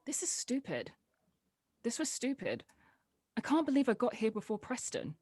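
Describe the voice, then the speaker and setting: A woman's voice: fairly high pitch, wildly swooping pitch, normal speed, a little mellow, husky, no room sound, exaggerated voice. A woman playing a guarded, sardonic young adult daughter in a drama table read, dry and blunt. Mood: exasperated.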